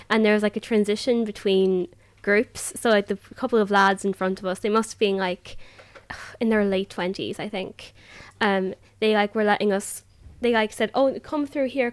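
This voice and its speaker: A nineteen-year-old Irish woman telling personal stories casually in a group discussion, chatty and peppered with "like", often quoting herself. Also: mid-range voice. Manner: chatty, animated filler "like", quotes herself. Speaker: roundtable participant.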